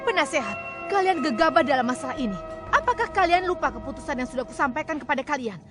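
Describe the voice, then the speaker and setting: A woman playing a proud, commanding and shrewd queen in an animated religious story, speaking in a formal, theatrical way. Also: high-pitched, quick pace. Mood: indignant, firm. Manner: imperious, formal, theatrical delivery. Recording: loud music under the voice.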